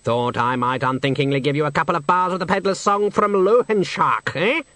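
A man doing a snooty, high-voiced male swan. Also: a little fast, sharp tone, no room sound. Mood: haughty. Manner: snooty and argumentative. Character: haughty quarrelsome male swan.

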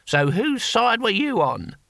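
A man's voice: very high-pitched, big pitch swings, slow, gravelly, pushed and bright, no echo, studio quality, pitched above the performer's own voice, exaggerated voice. A man voicing an exasperated old barbarian warrior in an audiobook, with blunt colloquial talk.

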